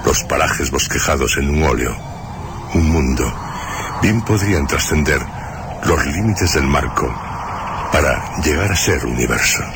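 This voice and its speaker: A man presenting and narrating a radio drama in a solemn, measured, literary style. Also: extremely low pitch, animated, background noise. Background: music.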